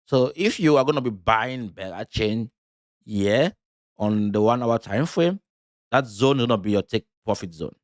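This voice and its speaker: A man's voice: mid-range voice, animated, moderate pace, no room sound, clean studio sound. A man from Cameroon giving loose live commentary on crypto charts, with many fillers and restarts. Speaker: crypto trading streamer.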